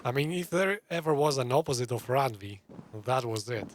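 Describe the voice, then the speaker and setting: A man's voice: medium pitch, lively intonation, moderate pace, slight background noise. A man giving casual, wry reaction commentary while playing a video game, informal in his wording. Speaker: gamer commenting on a playthrough. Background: game music.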